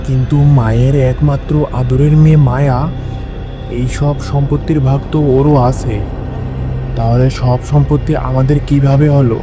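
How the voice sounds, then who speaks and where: Man playing a mid-pitched, easily swayed husband. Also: fairly even intonation, moderate pace, close to an everyday voice. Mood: puzzled. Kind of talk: children's cartoon voice acting. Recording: clear music behind the voice.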